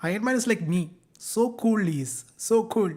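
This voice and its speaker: A man's voice: high voice, moderate pace, a little mellow. Upbeat young male teacher. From India. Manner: upbeat, banters with students, code-switching slang. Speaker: online science teacher. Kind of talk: live online class, chatty.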